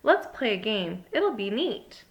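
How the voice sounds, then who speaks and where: A woman voicing a bold, playful, excitable young girl monster in a children's storybook read-aloud. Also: medium pitch, unhurried, dull audio.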